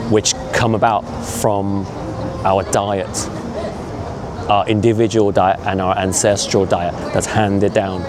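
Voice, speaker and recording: A man in his fifties vlogging as he walks outdoors on a city street, talking in an earnest, opinionated, conversational way with frequent asides. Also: lowish voice. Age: 50s. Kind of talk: casual walking vlog monologue. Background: traffic and urban street noise.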